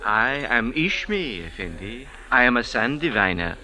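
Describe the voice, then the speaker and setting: An actor playing a humble, insistent Egyptian sand diviner in old-time radio drama, with a halting, incantatory delivery. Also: male voice, mid-range voice, big pitch swings, sharp tone, exaggerated voice. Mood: mystical, coaxing. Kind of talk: 1940s-50s radio drama acting.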